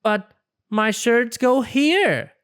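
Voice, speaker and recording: A storybook reader voicing a worried, exasperated little rabbit, protesting with expressive emphasis. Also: mid-range voice, very slow, smooth, pitched above the performer's own voice.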